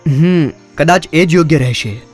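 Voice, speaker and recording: A man playing a humble, curious fisherman in a children's animated story, respectful and simple. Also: on the high side, unhurried, faint music behind, lightly acted.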